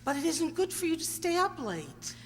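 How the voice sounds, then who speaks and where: Fussing, protective housekeeper, played by a woman, high radio-drama voice, insistent, echoing hall. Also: sing-song, moderate pace, full character voice. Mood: disapproving. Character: protective, disapproving long-serving housekeeper woman. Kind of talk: amateur radio drama acting. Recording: echoey hall, faint hum.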